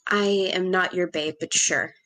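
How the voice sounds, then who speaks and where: A woman's voice: lowish voice, fairly even intonation, measured pace, a bit bright. A woman reading the part of a nervous, disapproving nice girl in an amateur screenplay table read. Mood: annoyed.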